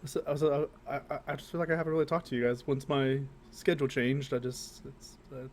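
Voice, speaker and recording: A man's voice: medium pitch, steady tone, a little fast, a little mellow. A man hosting a podcast, talking in casual group banter with a crude, profane sense of humor. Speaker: podcast host.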